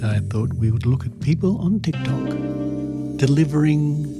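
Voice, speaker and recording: A man with an Australian accent hosting a podcast in a conversational monologue, wry and musing, with deliberate pauses for emphasis. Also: a little high, expressive, loud music behind the voice. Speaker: podcast host.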